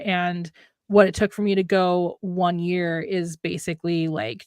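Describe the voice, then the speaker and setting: A woman's voice: on the low side, fairly bright tone, no room sound, clean studio sound. A woman in her late thirties chatting casually as a live stream co-host, reflective and rambling as she rephrases herself. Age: late 30s. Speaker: writer co-hosting a live stream. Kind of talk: casual chat.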